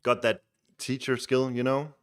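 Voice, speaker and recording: A man's voice: mid-range voice, measured pace, clear, resonant tone. A man from Denmark with a Danish accent, chatting casually with viewers on a live stream and coaching a game player. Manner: relaxed, chatty, restarts words often.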